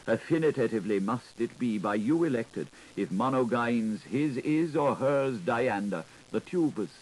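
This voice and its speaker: A man reading a literary audiobook in a theatrical, deliberate, declaiming manner, on a 1980s recording. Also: mid-range voice, darkish tone, rough recording. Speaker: audiobook reader.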